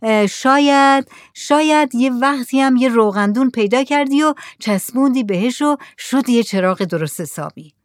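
A woman's voice: a little high, lively intonation, no echo, studio quality, voice pushed up, lightly acted. A woman reading the lines of an eager village man trying to sell his goods. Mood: coaxing. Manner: coaxing, persuasive.

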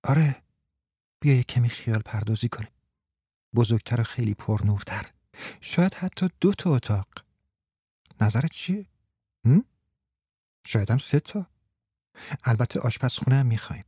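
A man's voice: mid-range voice, animated, mellow, breathy edge, no echo, studio quality. A man voicing a teasing, domineering woman. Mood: dreamy. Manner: mocking, imperious, drawn-out words.

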